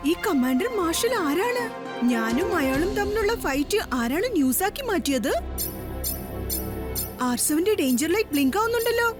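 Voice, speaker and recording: A woman's voice: very high voice, big pitch swings, normal speed, over loud music. A woman voicing a brave young boy superhero in a cartoon dub, confident and commanding. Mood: puzzled.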